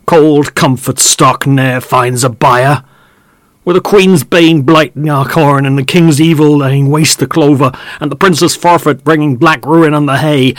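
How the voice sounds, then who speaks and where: A man voicing an angry, grasping middle-aged male farmer who shouts and scolds in a broad country dialect. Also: a little high, no room sound, pitched above the performer's own voice.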